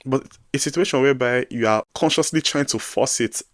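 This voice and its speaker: A man in his twenties with a Nigerian accent, giving emphatic, animated advice in a casual vlog. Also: mid-range voice, brisk, no room sound. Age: 20s. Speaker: vlogger giving advice to newcomers. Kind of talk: casual direct-to-camera vlog.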